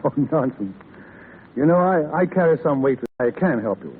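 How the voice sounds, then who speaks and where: An actor playing a wealthy, courteous, self-assured suitor with a polished, formal manner in a 1930s radio drama. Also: male voice, fairly high pitch, big pitch swings, normal speed. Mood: reassuring, smooth. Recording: tinny, faintly noisy 1930s radio broadcast.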